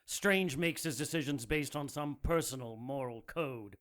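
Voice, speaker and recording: An actor playing a zealous, self-righteous male cleric in a radio drama, calm and condescending. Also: medium pitch, measured pace, close to an everyday voice. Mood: cold.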